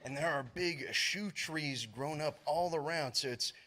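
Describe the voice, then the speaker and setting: A man's voice: a little high, measured pace, pushed and bright. A man playing a practical, plain-spoken building contractor in a scripted fairy-tale parody. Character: matter-of-fact male building contractor named Bill. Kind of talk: scripted stage parody dialogue.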